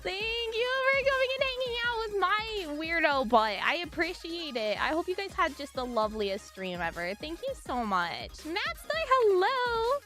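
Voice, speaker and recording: A woman's voice: extremely high pitch, big pitch swings, moderate pace, few pauses, loud music behind the voice. A woman streamer chatting bubbly with her live audience, greeting viewers by name and announcing a giveaway.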